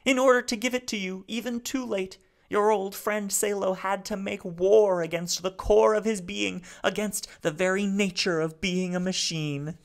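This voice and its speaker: A small, aged alien machine speaks high and haltingly. He sounds grief-stricken, cataloguing his own failings in a lament. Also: steady tone, vocal fry. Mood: mournful. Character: little old male alien robot, grief-stricken.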